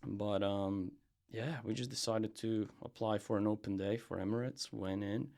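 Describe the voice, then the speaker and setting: Low gravelly-voiced young man from Serbia. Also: moderate pace. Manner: relaxed, rambling, many fillers and restarts. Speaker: podcast guest, brand founder. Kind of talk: casual interview chat.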